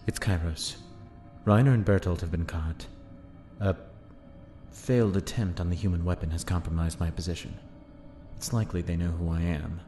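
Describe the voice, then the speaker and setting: A man voicing a secretive infiltrator soldier reporting to his commander, in a low, measured voice. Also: fairly even intonation, mellow, soft background music, lightly acted. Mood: guarded. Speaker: voice actor in fan audio drama.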